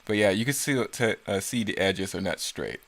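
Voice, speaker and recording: A man with a fairly low voice reviews his own work in an unscripted way. He rambles casually and picks at his own flaws as he goes. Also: animated, normal speed, vocal fry, a bit bright. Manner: self-critical, rambling. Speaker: creator commenting on own work.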